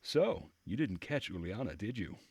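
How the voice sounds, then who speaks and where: A man's voice: lowish voice, big pitch swings, normal speed, darkish tone, breathy edge, no room sound, voice pushed up, exaggerated voice. A man voicing a friendly, polite young woman who works as a camp leader's aide in a visual novel. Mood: friendly, helpful. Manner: polite, caring, chatty.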